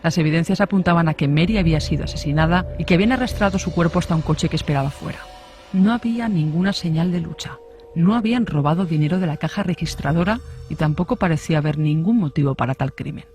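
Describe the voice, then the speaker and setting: Higher-pitched male true crime narrator. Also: quick pace, a little mellow.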